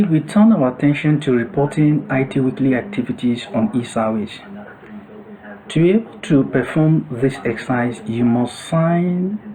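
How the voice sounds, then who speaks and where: A man narrating a step-by-step software tutorial in a deliberate, instructional way, repeating and rephrasing himself as he goes. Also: medium pitch, rough recording.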